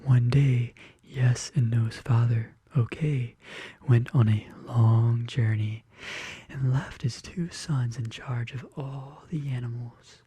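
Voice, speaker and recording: A soft-spoken ASMR host murmuring and whispering intimately and slowly in a soothing bedtime-story style, close to the microphone. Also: medium pitch, fairly even intonation, slightly rough, dry recording. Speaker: ASMR video creator and host. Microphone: close studio condenser microphone.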